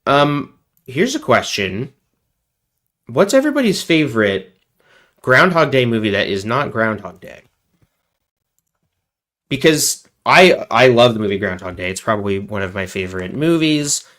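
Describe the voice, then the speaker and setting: A man's voice: medium pitch, very animated, a little slow, pauses often, fairly bright tone. A man hosting a casual livestream while sick with a cold, rambling and joking at his own expense. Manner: rambling, joking, self-deprecating. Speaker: livestream host.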